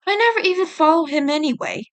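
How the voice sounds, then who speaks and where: A woman's voice: very high voice, animated, fast, a bit bright, voice pushed up. A woman reading the part of a blunt, guilt-ridden teenage mutant turtle boy in a story, awkward about feelings. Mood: guilty, upset.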